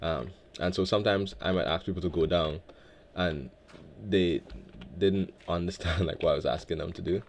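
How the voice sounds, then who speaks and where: A man from Guyana hosting a relaxed game let's play, talking in a laid-back, reflective way with many filler words. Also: sits low, normal speed, a little hiss or hum. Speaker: Minecraft let's play host. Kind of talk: relaxed gameplay commentary.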